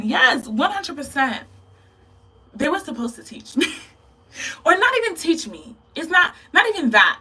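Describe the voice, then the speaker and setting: A young woman from Ghana chatting casually to camera as a beauty vlogger, thoughtful and quick to laugh. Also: a little high, bright, forceful tone, distant mic, low-quality recording.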